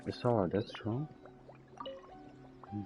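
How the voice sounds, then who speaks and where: A man streaming a game with a deep, soft-edged mellow voice. He muses aloud casually, repeating questions to himself over faint music. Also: slow pace. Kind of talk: casual live gameplay commentary.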